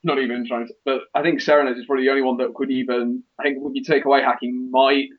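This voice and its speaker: Man, mid-pitched, slightly dull audio. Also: flat delivery, fast talker. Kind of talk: casual podcast discussion.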